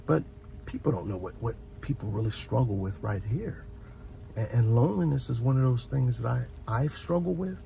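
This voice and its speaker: A middle-aged man talks through heartfelt advice in a mellow, fry-edged voice. He is reflective and earnest, often stopping to repeat a word before carrying on. A faint low rumble of noise sits behind him. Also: animated, normal speed, poor audio. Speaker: host of a relaxation and advice channel. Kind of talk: informal heartfelt advice monologue.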